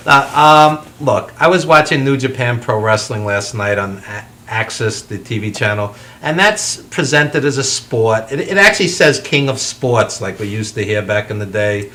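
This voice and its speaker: A man's voice: mid-range voice, expressive, normal speed, hardly any pauses, sharp tone. A man co-hosting a casual talk show, chiming in playfully.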